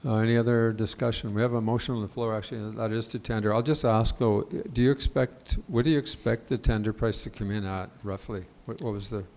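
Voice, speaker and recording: A man chairing a council meeting in a brisk, informal manner. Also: fairly low pitch, steady tone, a little mellow.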